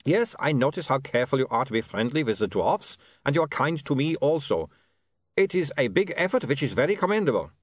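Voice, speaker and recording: A man's voice: mid-range voice, very animated, fairly quick, no room sound, clean studio sound, voice pushed up. A man voicing an amicable, earnestly polite reformed male vampire for an audiobook. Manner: earnest, formally polite, slightly insistent. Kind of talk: audiobook character voice.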